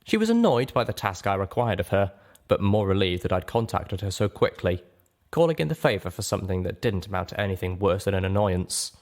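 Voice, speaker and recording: A man narrates an audiobook in the first person. His voice is low, with very lively intonation and a dramatic storytelling delivery. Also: a little fast.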